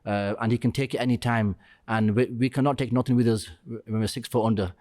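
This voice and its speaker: A middle-aged man with a voice of ordinary pitch speaks as a podcast guest. His talk is earnest and halting, full of pauses and "you know". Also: fairly even intonation, a little mellow. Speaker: restaurant co-founder, guest. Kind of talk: podcast interview.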